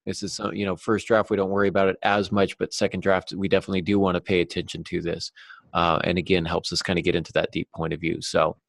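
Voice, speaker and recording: A man with a low voice and plenty of vocal fry talks as a podcast co-host. His delivery is casual and conversational. The recording is dry, with hardly any room sound. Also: level tone, fast, a bit bright, no echo.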